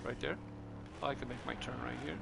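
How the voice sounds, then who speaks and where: A man with a heavily gravelly, creaky voice talks through a racing game in a loose, offhand way, half to himself. Clear noise sits behind him. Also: mid-range voice, fast. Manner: relaxed, talks to himself while playing. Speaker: gaming streamer. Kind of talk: casual gameplay commentary.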